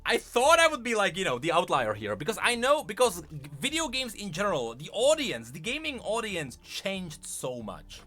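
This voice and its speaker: A man with a bright, high voice talks casually to his livestream chat as a gaming commentator. His pitch swings in a lively way, and he is emphatic, often repeating a phrase to drive it home. Music plays faintly behind him. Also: normal speed, few pauses. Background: background music.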